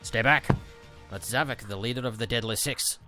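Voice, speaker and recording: A man playing an excitable, gullible prison warden in a comic dub, earnest in his way of talking. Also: mid-range voice, moderate pace, faint music behind, close to an everyday voice. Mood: grave, explanatory.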